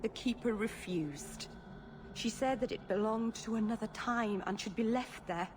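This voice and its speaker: A woman's voice: fairly high pitch, a little slow, natural voice. A woman playing an earnest, stubborn young elf mage in a video game cutscene, speaking with open emotion. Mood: earnest, defensive. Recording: noisy game ambience behind.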